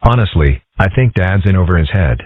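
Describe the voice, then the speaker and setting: A man voicing a calm, wry young fox boy in a comic dub. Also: deep voice, fairly quick, dry recording. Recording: tinny, phone-like, dry.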